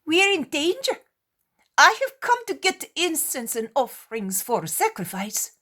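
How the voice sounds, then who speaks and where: A woman voicing a frightened male sailor who reports danger in urgent shouts in an audiobook. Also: high-pitched, huge pitch swings, normal speed, voice pushed up, heavily acted. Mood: panicked.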